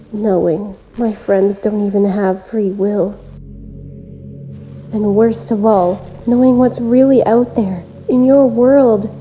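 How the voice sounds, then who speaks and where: A woman voicing a lonely, obsessive, self-aware visual novel game girl who speaks gently and intimately, straight to the listener, in an amateur voice-acted monologue. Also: mid-range voice, natural voice, low-quality recording. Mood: wistful, devoted. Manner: gentle, deliberate, intimate direct address.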